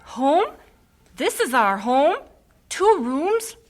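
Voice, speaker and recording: An actress playing a young missionary wife in a radio drama, earnest and expressive. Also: female voice, high-pitched, extremely slow, faint music behind, exaggerated voice. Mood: dismayed. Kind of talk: 1950s-style radio drama acting.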